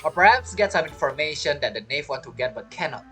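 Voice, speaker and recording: A woman's voice: low-pitched, expressive, quick pace, bright, forceful tone, faint music behind. A woman doing casual game playthrough commentary, informal and musing aloud as she reacts to the story. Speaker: gamer reacting to a story quest.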